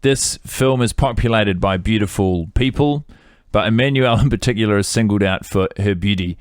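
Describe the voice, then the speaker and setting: A man's voice: fairly low pitch, moderate pace, dry recording, clean studio sound. A man, co-hosting a casual film-review podcast and rambling with fillers and restarts. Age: 30s. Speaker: podcast co-host.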